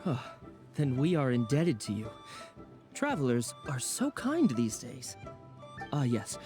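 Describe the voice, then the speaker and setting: A man's voice: medium pitch, wildly swooping pitch, unhurried. A man voicing a courteous, grateful townsman client in a video game. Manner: courteous, formal. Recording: game audio with clear music behind.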